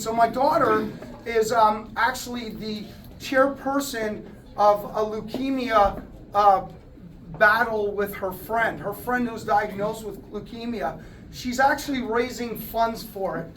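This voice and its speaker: A man's voice: extremely high pitch, fairly bright tone, slight background noise. A man who runs a contracting business, giving a plainspoken speech at a company party with many fillers and restarts. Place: indoor event hall. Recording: distant mic in a crowded hall.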